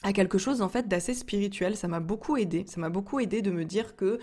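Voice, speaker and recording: A woman's voice: mid-range voice, fairly quick, a little mellow. A young woman from France telling a personal story in a chatty way, with many fillers and restarts. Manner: chatty, frequent fillers and restarts, laughs often. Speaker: entrepreneur vlogger.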